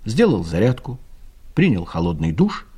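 The wry narrator of a radio play, reading literary prose. His voice is low, very mellow and richly varied in pitch. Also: huge pitch swings, normal speed, husky, heavily acted. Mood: dryly ironic. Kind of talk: scripted literary narration of an audio drama.

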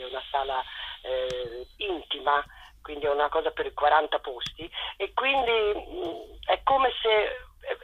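A woman directing a play, talking in a radio interview in an animated, rambling way full of restarts. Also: sits low, slightly rough, highs cut off. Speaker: theatre director.

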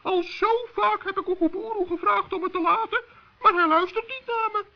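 A man playing a small, kindly but strict gnome in a children's radio play, squeaky and earnestly scolding. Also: normal speed, gravelly. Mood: indignant scolding. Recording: dull old radio play recording.

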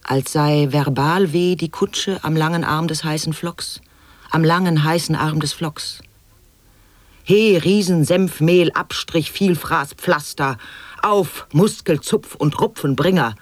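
A man's voice: a little high, slow pace, dry recording. An actor playing an eccentric man who declaims absurd wordplay in staccato lists for an experimental radio drama. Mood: haranguing.

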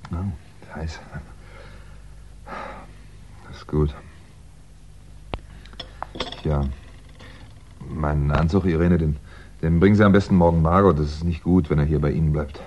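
An actor playing a wry, understated fugitive crime writer in a 1960s German radio play. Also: male voice, rumbling low, fairly even intonation, normal speed, pauses often. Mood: weary, muttering. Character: fugitive crime writer, dry, weary, polite man on the run. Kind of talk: 1960s German radio play acting and first-person narration. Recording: dull, noisy 1960s radio recording.

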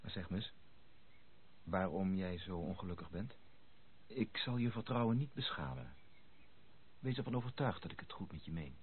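An actor playing an earnest, sympathetic gentleman with a husky voice and courteous manner in a radio drama. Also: deep voice, expressive, normal speed, long pauses, a little hiss or hum. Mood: sympathetic, sincere. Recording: tinny, band-limited old radio recording.

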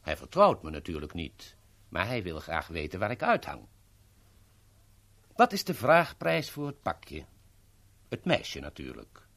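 An actor in a 1970s radio play voicing a smooth, sly henchman who negotiates with polite, teasing mock courtesy. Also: sits low, very animated, measured pace, pauses often, fairly bright tone, heavily acted. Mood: sly, courteous. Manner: polite, formal, teasing understatement.

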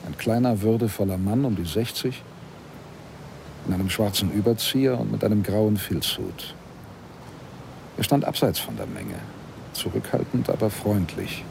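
Low, creaky-voiced insistent lawyer. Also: male voice, big pitch swings, moderate pace, pauses often, noisy background. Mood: measured, observant. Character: probing, insistent male lawyer narrating his own story. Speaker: radio drama actor, narrator. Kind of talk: narration and dialogue in a German radio play.

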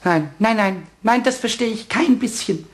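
A man voicing a cheeky, childlike little kobold in a children's radio play, squeaky and croaky, excitable, repeating words and turning mock-pompous. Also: moderate pace, natural voice. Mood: puzzled.

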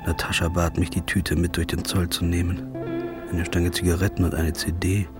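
An actor playing a troubled journalist who narrates his own story in a subdued, low inner monologue. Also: level tone, normal speed, lightly acted. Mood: brooding. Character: troubled male journalist, anxious and brooding. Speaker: narrator and lead character, a journalist. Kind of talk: first-person audio drama narration. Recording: music underneath the voice.

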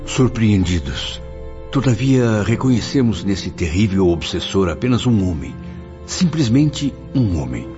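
A deep-voiced, grave and compassionate spirit recounts his memories in a Brazilian radio drama. His delivery is solemn and very expressive, over music in a dull recording. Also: measured pace. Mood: solemn, moved. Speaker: narrator character in a radio drama. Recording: dull lo-fi audio under music. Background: background music.

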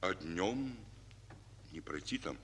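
An actor playing a weary, fatherly general in a Russian war drama, speaking in a pensive, halting way. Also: sits low, expressive, fairly bright tone, a little hiss or hum, exaggerated voice. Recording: old reverberant radio recording with music.

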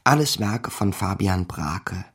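An actor playing a wry, rattled small-time crook who narrates a radio crime drama in sardonic slang and muttered curses. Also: male voice, deep voice, animated, takes it slowly, husky, heavily acted. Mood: gloomy, wry.

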